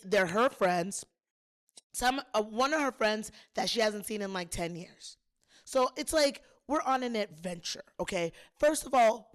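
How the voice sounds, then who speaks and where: A woman hosting a podcast, a little hoarse, chatting and telling a story straight to her listeners with plenty of emphasis. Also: medium pitch. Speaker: podcast host. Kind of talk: casual solo storytelling.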